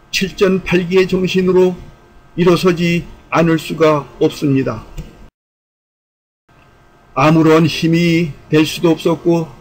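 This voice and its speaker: A man's voice: fairly high pitch, slight background noise, poor audio. A man delivering scripted commentary in a formal, speech-like way, with an earnest, rhetorical, declamatory manner. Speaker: YouTube channel commentator.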